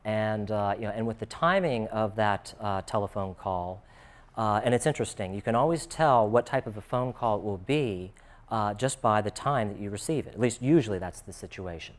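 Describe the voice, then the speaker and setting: A man's voice: on the low side, moderate pace. An American man speaking as a job coach in an informal, conversational and explanatory way, often dropping in "you know". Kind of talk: informal instructional talk.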